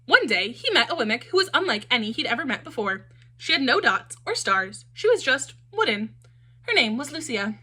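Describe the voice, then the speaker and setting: Animated woman reading a children's story. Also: high-pitched, moderate pace, breathy edge. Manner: expressive, animated.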